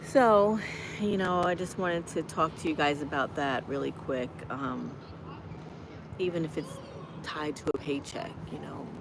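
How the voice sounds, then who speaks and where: A woman in her fifties, hoarse from a cold, giving chatty, encouraging exhortations while walking outdoors on a campus. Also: deep voice, unhurried. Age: 50s. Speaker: motivational vlogger. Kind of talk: live-streamed walking vlog. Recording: noisy outdoor street ambience.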